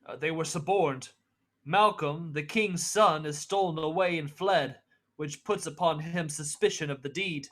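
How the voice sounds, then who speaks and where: A man's voice: a little high, a little slow, fairly bright tone. A young man acting as an earnest narrator and nobleman in a Shakespeare radio play. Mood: somber. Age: young adult. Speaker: student actor, narrator and supporting parts.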